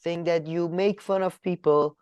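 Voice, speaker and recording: A woman co-hosting a comedy podcast, whose first language is Persian, talking in a casual, animated way, swinging between loud and soft and often restarting her sentences. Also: fairly low pitch, a little slow, clean tone, no room sound, lo-fi sound. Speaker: podcast co-host.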